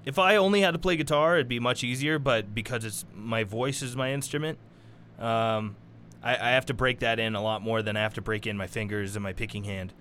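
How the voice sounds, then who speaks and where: A man's voice: mid-range voice, brisk, a bit bright, a little hiss or hum. A man guesting on a podcast, talking in a laid-back way and swearing freely about practicing music. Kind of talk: casual chat.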